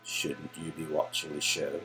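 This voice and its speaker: A man playing a flirty, excitable and slightly nervous theatergoer on a date in a story game. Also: very deep voice, measured pace, music in the background, pitched below the performer's own voice, rough recording.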